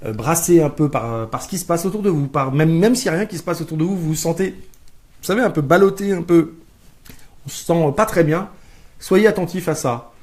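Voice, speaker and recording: A man's voice: fairly high pitch, lively intonation, brisk, darkish tone. A man co-hosting a live stream as a life coach, chatting casually, joking and searching for his words. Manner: jokey, searching for words, playful put-on slang.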